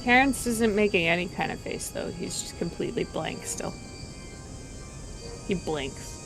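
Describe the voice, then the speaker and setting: A woman with a flat, dry delivery, casually roleplaying and describing her character in a tabletop game. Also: lowish voice, unhurried, vocal fry, music in the background, low-quality recording.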